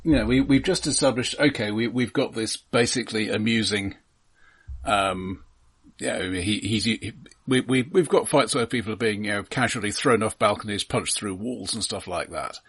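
A man with a husky, fairly low voice co-hosts a film podcast. He talks casually, in a measured way with dry understatement. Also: a bit bright. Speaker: podcast co-host reviewing films.

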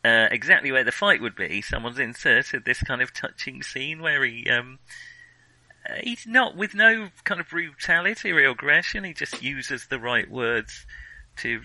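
A man co-hosts a casual film discussion with a very bright, forceful voice at a medium pitch. His delivery is excitable and halting, with thoughts tumbling out. The sound is close and dry. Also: no echo, low-quality recording. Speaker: film podcast co-host.

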